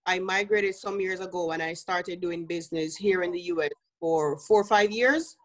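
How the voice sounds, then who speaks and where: A woman's voice: fairly low pitch, moderate pace, low-quality recording. A woman from Jamaica with a Jamaican accent, a business coach speaking as an interview guest in an earnest way, with easy laughs and many fillers.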